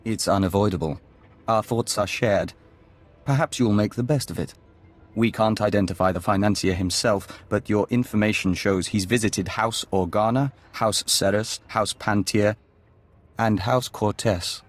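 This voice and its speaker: A man playing a serene, courteous hive-mind diplomat in a video game, speaking in a calm, formal, oddly detached way. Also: lowish voice, a little slow. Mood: serene, polite.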